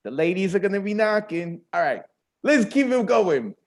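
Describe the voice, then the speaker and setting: A man's voice: high voice, very animated, moderate pace. Hyped Bronx man hosting an open mic. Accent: New York, Bronx. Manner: hyped, friendly, slangy. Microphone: video call.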